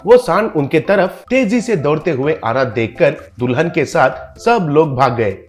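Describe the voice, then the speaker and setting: A man's voice: on the high side, lively intonation, measured pace. Guy narrating a funny scripted story, music in the background.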